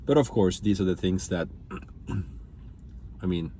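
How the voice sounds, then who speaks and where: A man's voice: deep voice, slow, mellow, slight background noise. A man from Finland speaking reflectively as a guest in a conversational interview. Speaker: interview guest, entrepreneur and business coach.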